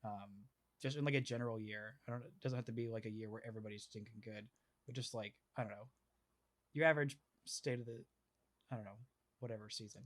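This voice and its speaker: A man's voice: fairly low pitch, steady tone, quick pace. Guy hosting a daily sports podcast, rambly, lots of likes and ums. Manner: rambling, filler-heavy, restarting. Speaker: podcast host. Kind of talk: casual podcast chat.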